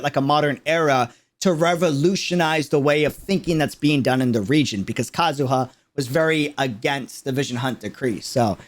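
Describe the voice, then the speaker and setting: A young man hosting a livestream reaction, geeking out in casual, slangy, rambling commentary with an enthusiastic manner. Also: fairly high pitch. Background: music playing behind the voice.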